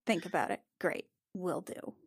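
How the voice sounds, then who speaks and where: A woman's voice: on the low side, normal speed, breathy edge, pitched below the performer's own voice. A woman playing an exasperated, stubborn woman in a tabletop game, muttering and curt. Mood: grudging.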